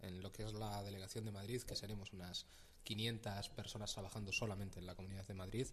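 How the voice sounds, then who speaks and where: A middle-aged man with a fairly low voice speaks as a guest in a casual interview. He talks hesitantly, restarting and repeating words as he explains. Faint noise sits under the recording. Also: flat delivery, fast talker. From Castilla-La Mancha, Spain. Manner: hesitant, repeats words often, laughs easily. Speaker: company regional manager, interview guest.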